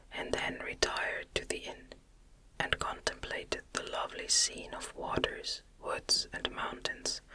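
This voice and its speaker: A narrator reading a gothic novel in a soft, breathy ASMR whisper, gentle, soothing and deliberate. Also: low-quality recording. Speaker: audiobook narrator.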